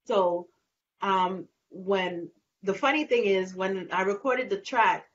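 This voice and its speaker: A woman's voice: mid-range voice, takes it slowly. A woman singer, chatting animatedly as an interview guest and acting out little scenes. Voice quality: light voice. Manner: animated, laughing, acts out quotes. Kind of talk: casual interview chat. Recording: lo-fi with faint noise.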